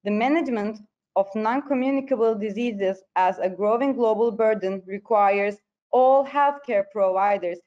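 A woman's voice: mid-range voice, normal speed. A woman with a Turkish accent giving a formal, carefully read academic presentation. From Turkey. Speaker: presenter, periodontology postgraduate. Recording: dull, lo-fi online presentation audio.

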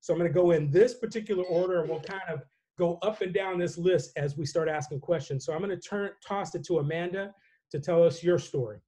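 An older man moderating a panel discussion over a video call from a home office, speaking in a relaxed, measured way with frequent fillers. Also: sits high. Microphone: webcam on a video call.

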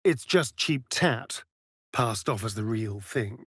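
An actor voicing a vain, sardonic vampire elf rogue in a video game, with an arch, drawling, theatrical delivery. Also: male voice, medium pitch, expressive, slow pace, a bit bright, breathy edge, dry recording, studio quality, full character voice. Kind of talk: video game character voice lines.

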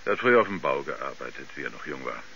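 An actor playing a folksy, skeptical neighbour man with a halting, dismissive way of talking in a radio drama. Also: a bass voice, sing-song, gravelly, pushed and bright, highs cut off, heavily acted. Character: folksy, skeptical male neighbour, chatty and hesitant. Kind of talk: 1970s German radio drama acting. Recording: hissy, band-limited 1970s radio recording.

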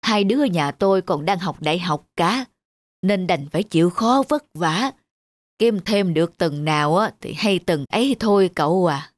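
A man's voice: high-pitched, lively intonation, normal speed, no echo. A man voicing a weary old rice porter in a cartoon, polite, humble and plaintive. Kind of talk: cartoon dubbing.

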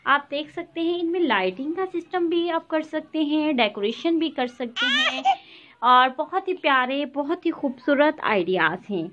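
High-voiced woman hosting a decor channel. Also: big pitch swings, moderate pace, muffled recording, a little hiss or hum. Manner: warm blessings and friendly appeals to viewers. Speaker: YouTube channel host. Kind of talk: voice-over for a home decor slideshow. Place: voice-over, not in the pictured rooms.

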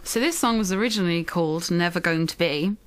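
A woman's voice: fast, clear, resonant tone. A young woman, a singer-songwriter, chatting casually as a radio interview guest in a self-deprecating, giggly manner. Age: young adult. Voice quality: voice a little low from heavy singing.

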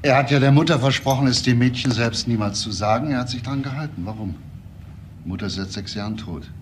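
An actor playing a calm, persistent homicide detective who asks short, matter-of-fact questions in a crime drama. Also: male voice, medium pitch, fairly quick, slight background noise, natural voice. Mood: probing.

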